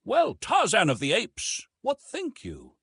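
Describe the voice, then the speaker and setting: Man voicing an animated, pleading French officer. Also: high-pitched, slow, gravelly, husky, no room sound, clean studio sound, voice pushed up, exaggerated voice. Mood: eager, questioning. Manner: exclaiming, persuasive, animated. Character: lively, principled French naval officer urging restraint.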